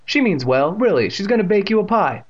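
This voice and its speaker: A man's voice: sits high, big pitch swings, fairly quick, voice pushed up. A man voicing a strained storybook mother, firm and placating with her young son. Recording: dull lo-fi audio.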